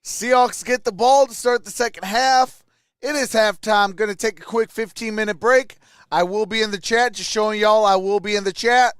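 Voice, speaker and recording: High, clear-voiced man hosting a sports stream. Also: steady tone, moderate pace, fairly bright tone, dry recording, clean studio sound. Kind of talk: casual live reaction commentary.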